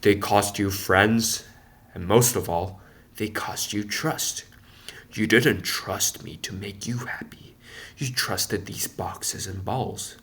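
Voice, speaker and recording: Man acting a calm, deep-voiced, kindly woodcarver. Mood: gently reproving. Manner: slow, deliberate, tender. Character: gentle, wise male woodcarver, fatherly maker of puppets.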